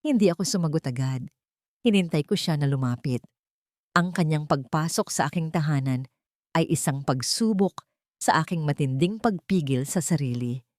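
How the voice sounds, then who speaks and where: Female Filipino story narrator, slightly low register, scripted first-person drama, no room sound. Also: expressive, normal speed, darkish tone, no echo, studio quality.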